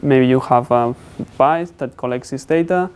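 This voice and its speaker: A man giving a student technical presentation in halting, English. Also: medium pitch, darkish tone, clear, resonant tone. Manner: halting, non-native English phrasing. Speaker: student presenting a capstone project.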